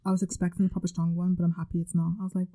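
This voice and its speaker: A woman's voice: fairly low pitch, completely flat delivery, very fast, warm tone. A young woman with a Scouse accent, chatting and bantering on a podcast. She laughs often and talks in a loose, easy way. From Liverpool, England. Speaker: podcast co-host. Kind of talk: casual chat.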